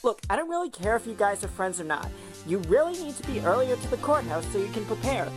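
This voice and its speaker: A woman's voice: mid-range voice, very animated, fast, natural voice. A woman playing a strict, easily exasperated animal law mentor in an amateur audio drama, scolding and serious in delivery. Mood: stern. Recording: music bed under the voice.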